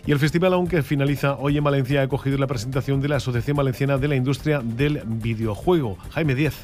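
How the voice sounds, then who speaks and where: A man anchoring a radio news bulletin, reading the items in a measured, formal way. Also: mid-range voice, darkish tone, soft background music. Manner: measured, formal newsreader delivery.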